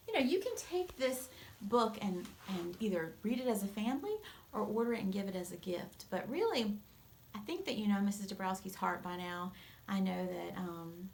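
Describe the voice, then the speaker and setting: A woman acts as a teacher sharing a storybook with young children. She speaks expressively and gently, at a middling pitch, pausing for explanations. There is faint noise in the recording. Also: vocal fry. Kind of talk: storybook read-aloud with asides to children.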